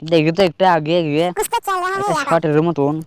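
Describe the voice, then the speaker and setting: A man's voice: fairly high pitch, a little fast, noisy background, lightly acted. A man voicing a loyal, wary young adventurer with colloquial speech in a cartoon. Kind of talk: amateur Bangla cartoon dubbing.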